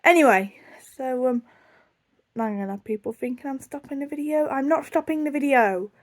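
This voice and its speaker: Chatty, higher-voiced young British woman. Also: brisk. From United Kingdom. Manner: chatty, easily distracted, self-deprecating. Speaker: video blogger. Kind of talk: casual rambling storytime chat.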